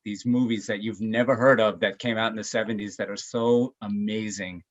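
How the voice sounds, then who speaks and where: Low-voiced laid-back man, lo-fi audio. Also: fairly even intonation, moderate pace. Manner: laid-back, hesitant with ums. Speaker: band bassist, interview guest. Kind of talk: casual interview chat.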